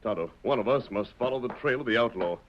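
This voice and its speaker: A man's voice: mid-range voice, quick pace, close to an everyday voice. Guy playing a masked cowboy hero, american, firm and earnest radio acting, lo-fi old recording with some noise. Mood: assured, deductive. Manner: earnest, clearly articulated, authoritative. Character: the Lone Ranger, resolute commanding masked lawman hero. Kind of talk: 1940s radio drama acting.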